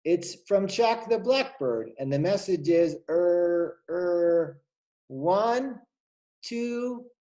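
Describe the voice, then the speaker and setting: Man putting on a playful storybook monkey, high-pitched. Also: animated, drawn-out words, mellow, lo-fi sound, full character voice.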